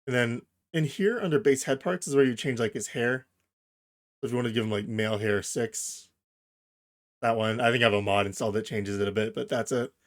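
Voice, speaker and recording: A man hosting a software tutorial, explaining each step informally and thinking aloud as he goes. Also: mid-range voice, brisk. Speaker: tutorial host. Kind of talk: casual software tutorial narration.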